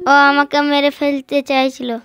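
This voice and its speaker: Cartoon orphan bird: tiny childlike squeak, high and flat, plaintive but plucky, dry sound. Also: male voice, unhurried, dry recording, highs cut off, faint music behind, heavily acted. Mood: earnest. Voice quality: thin, squeaky voice. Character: small orphaned magic bird, childlike, plaintive yet defiant. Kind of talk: amateur cartoon story voicing.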